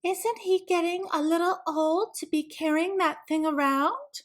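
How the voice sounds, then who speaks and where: A woman's voice: very high-pitched, a little slow, voice pushed up. A woman voicing a fussy, meddling older female neighbor in a storybook, prim and know-it-all. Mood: disapproving.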